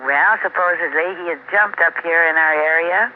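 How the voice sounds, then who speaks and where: A woman's voice: lowish voice, normal speed. A woman chatting casually as an interviewee, informal and good-humoured, with a hesitant way of talking. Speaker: general store owner being interviewed. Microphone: telephone. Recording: noisy, very narrow phone line.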